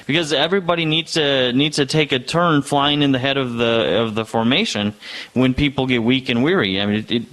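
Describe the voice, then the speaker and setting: A man's voice: mid-range voice, fairly quick, fairly bright tone. A man hosting an interview, asking questions in an upbeat, conversational way. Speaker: show host.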